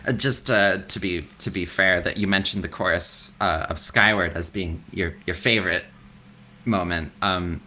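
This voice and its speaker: A man with a deep voice, chatting loosely as a podcast guest, swearing casually and laughing easily. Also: normal speed, pushed and bright. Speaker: guest, extreme metal vocalist. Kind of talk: casual interview chat.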